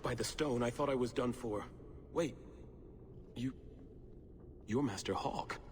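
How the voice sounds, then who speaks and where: An actor playing an earnest, awed young merchant's son in a fantasy video game, speaking sincerely. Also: male voice, mid-range voice, unhurried, lightly acted. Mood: shaken, awed. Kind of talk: video game cutscene acting. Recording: noisy ambience behind the voice.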